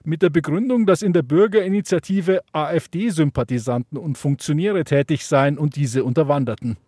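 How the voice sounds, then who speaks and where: A man reporting in a scripted news voice-over, neutral and even. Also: a little high, normal speed, husky, no room sound, clean studio sound.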